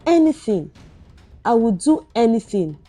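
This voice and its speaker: A desperate young male barber in an audio folktale, voiced by a woman storyteller. The delivery is soft-toned and unhurried, full of anguish and remorse. Music plays behind the pleading. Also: fairly high pitch, big pitch swings. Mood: remorseful.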